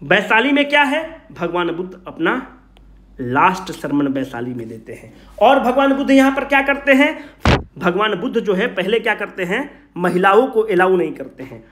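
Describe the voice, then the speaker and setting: A high-pitched man teaches in an echoing room. His intonation swings widely as he puts questions to his students and then answers them himself. The style is an informal, familiar coaching lecture. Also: very animated, moderate pace, a bit bright, slight background noise. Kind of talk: informal exam revision lecture, asking and answering own questions. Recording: roomy, echoing sound.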